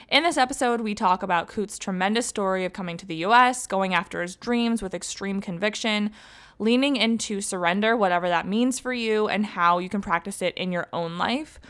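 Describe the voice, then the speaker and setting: An upbeat woman hosting a podcast, with a voice of middling pitch. She reads a guest introduction and then slips into relaxed, chatty questions. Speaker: podcast host. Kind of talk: podcast interview, scripted intro.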